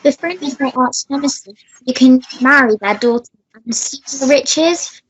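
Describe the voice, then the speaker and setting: A woman's voice: sits high, normal speed, fairly bright tone, breathy edge, low-quality recording. A woman playing an anxious, earnest young police constable in a radio melodrama, fretful and pleading. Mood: dismayed. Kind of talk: melodrama radio play acting.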